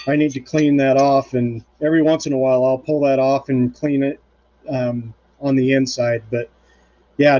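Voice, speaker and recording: A man's voice: fairly high pitch, dark tone. A man hosting a live woodturning demonstration in his home shop, talking casually with plenty of fillers and restarts. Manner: rambling, many fillers and restarts. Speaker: woodturning livestream host. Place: home woodshop. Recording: muffled lo-fi stream audio.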